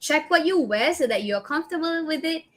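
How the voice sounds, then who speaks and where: A woman with a Malaysian accent coaches an audience on public speaking, her voice sitting a little high. She gives direct, practical advice and keeps checking in with tag questions like "right?" and "okay?". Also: animated, fairly quick. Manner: tags sentences with "right?" and "okay?". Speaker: guest speaker. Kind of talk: public speaking workshop talk.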